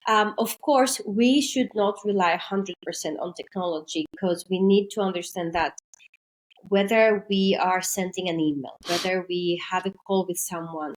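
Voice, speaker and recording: A woman's voice: fairly low pitch, normal speed, rough recording. Woman explaining carefully. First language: Greek. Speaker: podcast guest, maritime trainer. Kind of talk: podcast interview.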